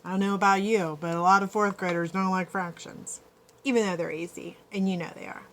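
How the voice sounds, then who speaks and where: A woman reading a picture book aloud as a schoolteacher, pausing to explain and check that children follow. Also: medium pitch, fairly even intonation, fairly bright tone, slight background noise. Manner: explanatory, checks understanding with tag questions. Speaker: elementary school teacher. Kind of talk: picture-book read-aloud with teaching asides.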